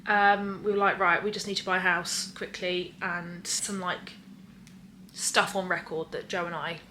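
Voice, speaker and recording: Chatty bright-voiced English woman. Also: medium pitch, a little hiss or hum. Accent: English, with Australian traces. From England. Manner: chatty, rambling, many fillers. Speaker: vlogger. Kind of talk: casual chat, Q&A.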